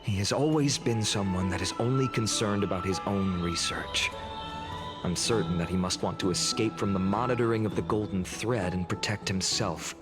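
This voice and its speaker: A gentle, earnest warrior voiced by a man in a story-driven video game, with a fairly low voice. He speaks in measured, formal, courteous phrases, and music plays clearly behind him. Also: lightly acted. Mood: earnest, concerned. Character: gentle, earnest male warrior worried for his comrades.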